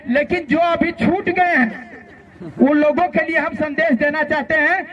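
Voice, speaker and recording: A man's voice: very high voice, fairly even intonation, moderate pace, lo-fi sound. A man with a Jharkhand accent gives a colloquial, impassioned political speech to students, addressing them directly as a student leader. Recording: noisy outdoor rally, loud crowd behind.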